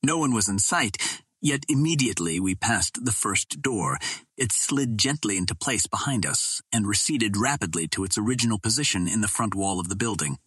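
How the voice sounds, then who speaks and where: A man reading a classic adventure novel aloud as scripted narration, in a measured, formal storytelling manner. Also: on the low side, expressive, a bit bright, slightly rough, no room sound. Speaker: audiobook reader.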